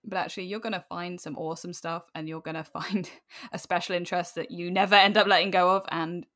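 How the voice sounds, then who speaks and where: A British woman in her late twenties talking candidly and informally as an interview guest, with an animated, emphatic manner. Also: fairly low pitch, fast. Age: late 20s. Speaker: podcast guest, writer.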